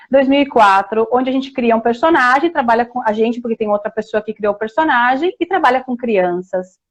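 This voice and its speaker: A middle-aged woman from Rio Grande do Sul with a southern Brazilian accent, telling her story in a warm, chatty way over a video call, full of restarts and tag questions. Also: a little high, highs cut off. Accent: southern Brazilian Portuguese, Rio Grande do Sul. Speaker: historian and author guest. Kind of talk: casual interview storytelling.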